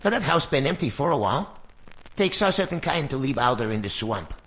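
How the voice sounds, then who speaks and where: A man's voice: medium pitch, very animated, a little fast, a bit bright, slight background noise. A man playing a nosy, tobacco-chewing bayou local in a radio drama. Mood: suspicious.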